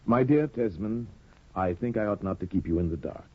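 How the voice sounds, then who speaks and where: A man playing a smooth, sly middle-aged judge in a 1950s radio drama, suave and measured. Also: lowish voice, animated. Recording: dull old radio broadcast.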